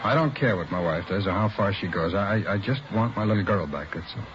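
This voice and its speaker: A man's voice: on the low side, animated, fairly quick, lightly acted. An American actor playing a distraught, evasive working man and father in a vintage radio crime drama, hesitant and plain-spoken. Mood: bitter, dejected. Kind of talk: mid-century radio crime drama acting. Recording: muffled, noisy vintage radio recording.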